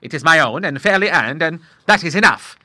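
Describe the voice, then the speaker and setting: Man voices a hearty retired admiral in his sixties, loud and cheerful, dry close read. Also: a little high, animated, fairly quick, no room sound, voice pushed up, heavily acted. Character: jovial old retired male naval admiral.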